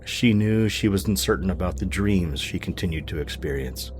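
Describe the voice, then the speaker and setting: A man reading dramatic scripted narration for a suspenseful mystery audio drama, in a measured way. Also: low-pitched, expressive, darkish tone, faint music behind.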